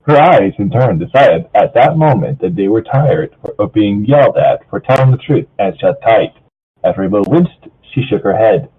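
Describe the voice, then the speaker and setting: Male amateur narrator, husky, very animated storytelling with casual asides, thin phone-like sound. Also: on the low side, moderate pace. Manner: breaks off to joke with co-reader. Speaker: narrator of fan fiction.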